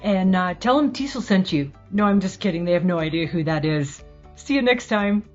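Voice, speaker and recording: A woman's voice: fairly low pitch, lively intonation, normal speed, darkish tone, faint music behind. A woman from the US doing a casual travel video report outdoors, chatty like a tour guide. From United States. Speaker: field reporter. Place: outdoors on a mountain road. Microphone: phone camera. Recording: dull lo-fi sound.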